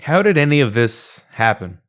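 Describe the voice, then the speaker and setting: A man's voice: mid-range voice, sing-song, moderate pace, a bit bright. A man narrating a scripted video essay.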